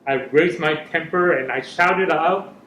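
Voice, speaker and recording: A man's voice: on the high side, unhurried, fairly bright tone, slight background noise. Middle-aged man, echoey room. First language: Korean. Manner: earnest, searching for words in a second language. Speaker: panelist, parent. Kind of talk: panel discussion. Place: school hall or room. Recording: echoey room, slightly dull sound.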